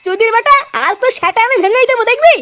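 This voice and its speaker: Shrill, brash cartoon boy, noisy track. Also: animated, fast talker. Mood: brash, scolding. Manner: brash, scolding, swearing. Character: foul-mouthed, bickering cartoon boys of a village. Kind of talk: comic cartoon dialogue.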